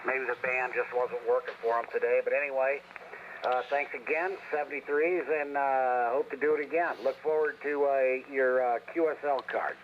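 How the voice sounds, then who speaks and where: A man of middling pitch talks over a dull, noisy shortwave link. He chats casually as a ham operator in a radio contact. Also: fairly even intonation, moderate pace. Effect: shortwave ham radio transmission. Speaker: amateur radio operator checking in.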